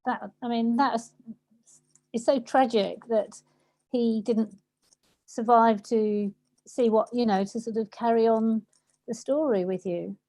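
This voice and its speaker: A woman's voice: on the high side, steady tone, a little slow, pauses often, dark tone, poor audio. A woman interviewing someone in a conversational way, tentatively phrasing and rephrasing what she says.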